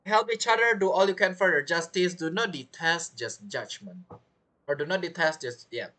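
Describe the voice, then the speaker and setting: A man's voice: fairly high pitch, lively intonation, normal speed, bright, forceful tone. A man giving chatty, casual playthrough commentary, reacting to the game and reading its text aloud. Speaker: game streamer.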